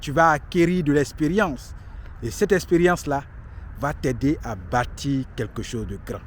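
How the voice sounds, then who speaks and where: A man's voice: medium pitch, moderate pace, slight background noise. A man in his late thirties giving emphatic, repetitive motivational life advice outdoors in a city street. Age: 30s. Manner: emphatic, preachy, repeats himself for stress. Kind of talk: motivational talk to camera.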